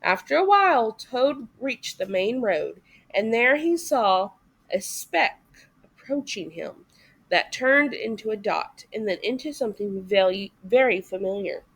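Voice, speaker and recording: A woman reading a story aloud for a classroom as an expressive children's narrator. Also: on the high side, measured pace, slightly rough, poor audio.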